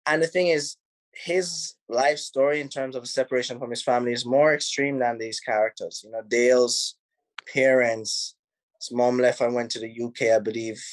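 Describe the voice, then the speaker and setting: A man's voice: medium pitch, no echo, poor audio. Laid-back Jamaican man, dry close sound. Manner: relaxed, rambling, many "you know"s, laughs easily. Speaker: film director. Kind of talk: casual interview answers. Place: home in Los Angeles.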